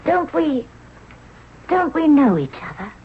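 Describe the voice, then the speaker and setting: A woman's voice: high voice, very animated, takes it slowly, heavily acted. An actress playing a spirited, girlish and playful young Egyptian princess in a radio drama. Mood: wondering. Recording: dull, noisy old radio broadcast.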